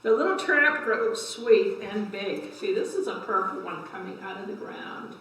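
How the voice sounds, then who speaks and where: A woman's voice: mid-range voice, expressive, moderate pace, a bit bright. An older woman hosting a story time, reading picture books aloud to young children with simple asides and questions. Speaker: library story time host. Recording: echoey room, distant microphone.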